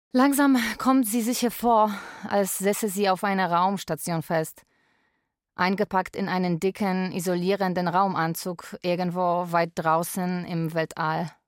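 An actress playing a lonely, wry Polish live-in carer, narrating a radio drama in a deliberate way. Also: female voice, lowish voice, no room sound. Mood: lonely, reflective.

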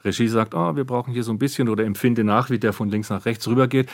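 A man's voice: mid-range voice, fast talker, no room sound. A man working as a sound effects artist explains his craft in conversation, searching for his words in a studio. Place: radio drama studio.

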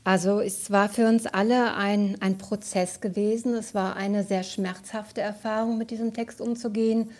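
Thoughtful woman director, medium pitch. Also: fairly even intonation, normal speed, few pauses, a little mellow. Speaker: radio play director, interview guest. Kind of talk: reflective interview answer.

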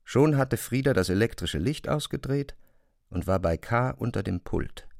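A man narrating a literary radio drama in a measured, serious manner. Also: mid-range voice, lively intonation, gravelly, a little mellow, no room sound, studio quality.

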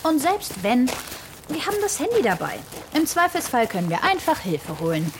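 A girl sleuth in a children's mystery audio drama. Her high voice swings widely and dramatically, breathy and animated, with a teasing edge. There is faint noise behind her. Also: normal speed. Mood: teasing, confident.

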